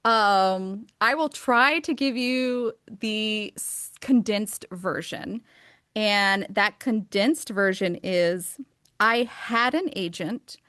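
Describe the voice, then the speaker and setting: A woman's voice: mid-range voice, a bit bright, no echo, clean studio sound. Chatty, enthusiastic American woman author. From United States. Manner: enthusiastic, chatty, many fillers like um and like. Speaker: author and guest. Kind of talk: casual interview conversation.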